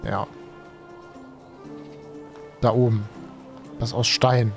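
A man's voice: medium pitch, level tone, drawn-out words. Brief: young male let's-player, under the weather, loose slangy chatter, headset mic, faint game music underneath. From Germany. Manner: chatty, slangy, thinks aloud with many fillers. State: has a cold and is tired. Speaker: gaming streamer. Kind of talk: casual let's play commentary. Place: home streaming room.

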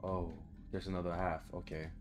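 A man's voice: deep voice, little pitch movement, fairly quick, music in the background. A young man giving casual, sweary game commentary, talking to himself as he reacts. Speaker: gaming YouTuber. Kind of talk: casual horror game let's play commentary. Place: home room, indoors.

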